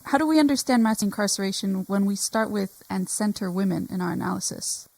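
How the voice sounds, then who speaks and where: A woman's voice: mid-range voice, a little fast, gravelly, darkish tone, no echo. A woman reading scripted radio narration as the host of a show.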